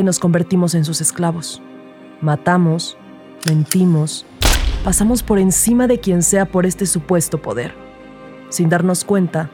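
A woman's voice: on the low side, warm tone, natural voice. A woman playing a rookie detective who narrates her case in a scripted crime audio drama. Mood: reflective.

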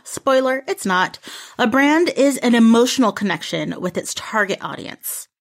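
Upbeat woman reviewing books. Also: medium pitch. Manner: enthusiastic, conversational book recommendations. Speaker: podcast co-host. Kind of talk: book review podcast.

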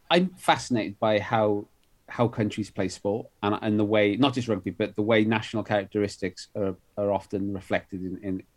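A middle-aged man from Wales, an author guest in a conversational interview, talking in long rambling runs with many restarts and fillers. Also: on the low side.

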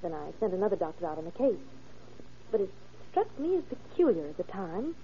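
Actress as a quick, lively, earnest secretary. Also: female voice, mid-range voice, lightly acted. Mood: certain, earnest. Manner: brisk, precise, concerned. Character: earnest, worried female secretary at a doctor's office. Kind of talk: old-time radio crime drama acting. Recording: dull vintage radio recording, faint noise.

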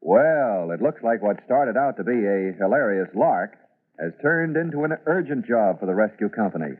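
A man's voice: fairly low pitch, expressive, normal speed. An American man narrating an old-time radio serial as its announcer, in a dramatic scripted style. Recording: phone-like vintage radio recording.

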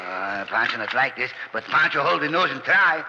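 A man's voice: medium pitch, animated, normal speed, dull audio, natural voice. A big, simple, timid Mexican cowboy sidekick played by a man in an old radio western. His broken English swings up and down comically, and he parrots what he hears. The sound is noisy and drenched in reverb. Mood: reluctant. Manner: broken English, echoing his partner's words. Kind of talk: 1940s-50s radio western acting.

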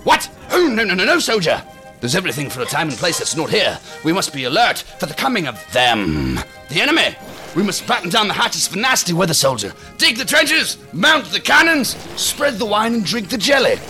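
An actor playing a flamboyant, deranged self-styled captain who declaims in florid, volatile style in a science fiction audio drama. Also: male voice, huge pitch swings, a little fast, heavily acted. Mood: manic, commanding. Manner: florid, declamatory, swinging between whisper-low and shouting. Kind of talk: theatrical monologue in sci-fi audio drama. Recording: music behind the voice.

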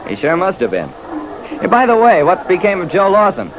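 Lively American radio detective. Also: male voice, high-pitched, brisk. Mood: brisk, determined. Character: wry, dogged male private detective telling his case. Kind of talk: old-time radio detective narration and acting. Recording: faintly hissy vintage radio recording.

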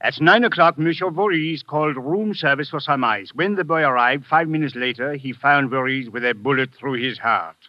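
A man's voice: mid-range voice, normal speed, few pauses, slight background noise, natural voice. A man playing a shrewd French police inspector with a French accent, asking formal, probing questions in a vintage radio drama. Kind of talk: 1940s radio acting. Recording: dull vintage radio recording.

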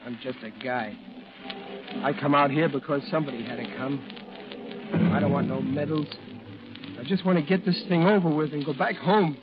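A man's voice: a little high, close to an everyday voice. Plain-spoken Marine played by a man, muffled old radio. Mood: earnest, weary. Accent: American. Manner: blunt, colloquial, halting. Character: tough, plain-spoken working-class Marine, gruff but sincere. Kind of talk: 1940s radio drama acting. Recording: muffled old radio broadcast, music underneath.

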